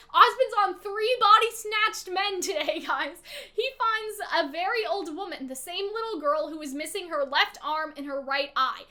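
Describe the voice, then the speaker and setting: Very high-pitched, bright-voiced woman commentator. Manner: emphatic, exasperated, swears freely, often checks in with "right?". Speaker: vlogger, story analyst. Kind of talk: casual, opinionated story commentary.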